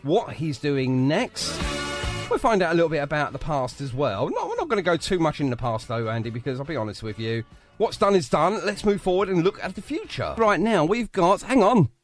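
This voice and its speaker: A man's voice: a little high, very animated, fairly quick. A man hosting a live radio show with casual, jokey, teasing banter. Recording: music behind the voice. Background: music bed.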